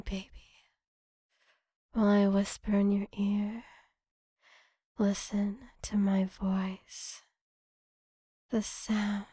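A woman performing intimate adult ASMR straight to the listener, murmuring seductively and often slipping into a breathy whisper, close to the mic. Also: medium pitch, dark tone, no echo. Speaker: erotic audio performer. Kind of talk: erotic ASMR audio addressed to the listener.

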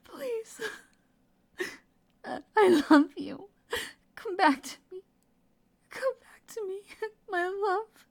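A woman playing a guilt-ridden, devoted and dangerous lover in an intimate roleplay audio drama, with a breathy, emotional delivery. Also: high voice, a little slow, long pauses. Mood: tearful pleading. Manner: breathy, emotional delivery sliding between sobs and whispers. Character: devoted, guilt-ridden female psychopath lover, tearful and clingy.

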